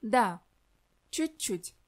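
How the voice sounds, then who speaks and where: Slow, careful woman reading answers. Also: medium pitch, animated. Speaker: model answerer in language lesson. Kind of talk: slow scripted example answers.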